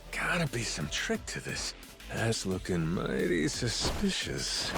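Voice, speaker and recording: An actor playing a brash, playful, tough pirate captain in a video game, with a cocky streetwise way of talking. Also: male voice, lowish voice, huge pitch swings, slow, gravelly, sharp tone, soft background music, exaggerated voice. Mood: cocky, curious.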